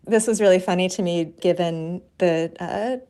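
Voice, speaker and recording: A woman's voice: lowish voice, normal speed, dark tone, clear, resonant tone. A woman who is a poet and author, a guest on a radio interview, speaking thoughtfully and reflectively with light laughs.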